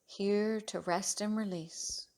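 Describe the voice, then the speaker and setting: Slow, soothing woman guiding meditation. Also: medium pitch, fairly even intonation, a little mellow. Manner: soothing, measured, with long pauses. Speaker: yoga teacher guiding meditation. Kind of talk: guided relaxation meditation.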